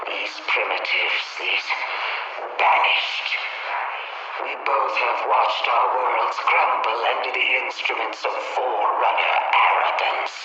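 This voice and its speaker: A performer voicing a vengeful, ancient alien leader with a grandiose, deliberate, ominous delivery in a video game. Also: medium pitch, noisy background, natural voice. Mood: solemn, ominous. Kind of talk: scripted game dialogue, slow monologue. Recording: very echoey hall, distant, muffled.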